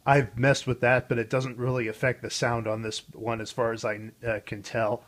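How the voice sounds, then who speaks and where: A man's voice: medium pitch, level tone, moderate pace. A man hosting a casual show-and-tell, explaining things in an informal, conversational way. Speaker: host of a vintage radio showcase.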